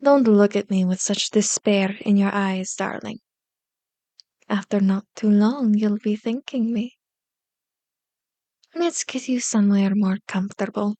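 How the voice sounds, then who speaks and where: A woman's voice: mid-range voice, fairly even intonation, warm tone, no room sound, natural voice. A woman playing a powerful, manipulative female vampire in an ASMR roleplay, seductive and condescending, slipping into whispers as she commands and coaxes. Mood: soothing, coaxing. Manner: slow, commanding, mock-sweet taunting with whispered words.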